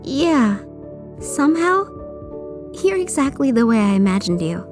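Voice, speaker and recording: Woman as an earnest young child, extremely high voice, curious, manga dub, faint music. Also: sing-song, a little slow. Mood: wondering. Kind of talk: amateur manga dub acting.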